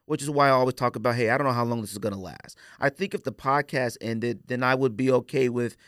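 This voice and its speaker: A man's voice: mid-range voice, quick pace, a bit bright, no echo, studio quality. A man chatting casually as a podcast co-host, rambling candidly and using plenty of slang.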